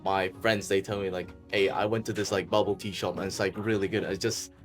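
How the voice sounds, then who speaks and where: A young man from Spain, a Spanish speaker by birth, talks easily in a low voice and sprinkles his answers with fillers. Faint music sits under him. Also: brisk. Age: young adult. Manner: relaxed, uses lots of "like" fillers. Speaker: international student. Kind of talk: casual interview answers.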